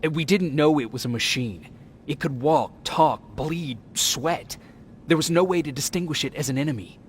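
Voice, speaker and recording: A man's voice: on the high side, moderate pace, a little hiss or hum, lightly acted. A man playing an earnest, dutiful army private in a video game drama, serious and restrained. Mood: grave. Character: earnest, dutiful male resistance soldier.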